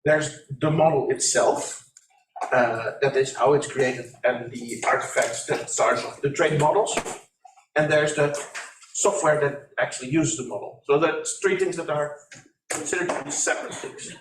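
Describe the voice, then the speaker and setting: Man, audience participant, forthright, loud voice, slightly high, roomy distant sound. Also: normal speed, a little hiss or hum. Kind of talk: conference floor discussion. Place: conference meeting room. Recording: echoey room, distant ceiling mics.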